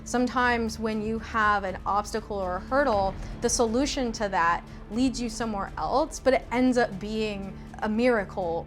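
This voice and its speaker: A woman who creates audio drama, speaking reflectively in an interview about creative work. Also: medium pitch.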